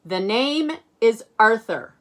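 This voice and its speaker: A woman's voice: on the high side, lively intonation, takes it slowly, fairly bright tone, voice pushed up. Woman as haughty, emphatic bearded dragon painter. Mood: stiff correction.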